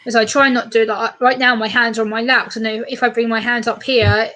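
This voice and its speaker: A woman from the UK chatting fast and animatedly on a casual live stream, co-hosting and reading out viewer comments. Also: a little high, level tone, pushed and bright. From United Kingdom.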